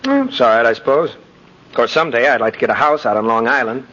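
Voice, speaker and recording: A man's voice: mid-range voice, quick pace, a bit bright, close to an everyday voice. An actor playing an easygoing, casual man chatting with a friend in a 1950s radio drama. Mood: casual, puzzled. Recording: dull vintage radio recording.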